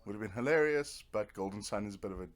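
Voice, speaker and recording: A man's voice: on the low side, lively intonation, quick pace. A man giving casual, rambling let's play commentary as he streams a video game, half talking to himself with playful little words. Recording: clear background noise from nearby TV. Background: Netflix playing in the background.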